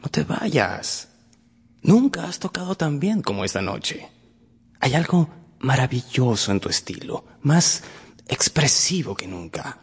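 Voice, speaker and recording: An actor playing a cynical, languid aristocrat in a radio drama, with theatrical, teasing, drawn-out phrasing. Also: male voice, medium pitch, very animated, breathy edge, heavily acted. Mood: coaxing, amused. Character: languid, cynical aristocratic gentleman, coaxing his friend. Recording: long hall reverb. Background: faint music.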